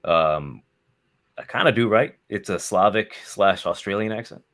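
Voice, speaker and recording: A man's voice: fairly low pitch, measured pace, a bit bright. A man talking as a podcast guest, rambling with lots of fillers and casual swearing. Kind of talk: casual chat.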